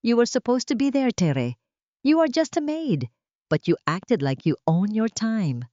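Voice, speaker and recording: A woman's voice: fairly high pitch, big pitch swings, measured pace, warm tone, pitched above the performer's own voice. A woman voicing a cruel, scheming rich wife in an audio drama, scornful and taunting. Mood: accusing anger. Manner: taunting, switches between languages. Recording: dull lo-fi audio with room echo.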